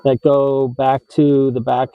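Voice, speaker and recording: A man's voice: medium pitch, little pitch movement, slow pace, phone-quality sound. An American man hosting a how-to video, explaining in a casual, informal way with some hesitation and self-correction. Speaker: host of an RV tips video channel.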